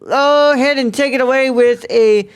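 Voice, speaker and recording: A man's voice: very high voice, steady tone, normal speed, smooth. Casual podcast co-host, a man. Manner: informal, mild swearing. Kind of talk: casual film review podcast chat.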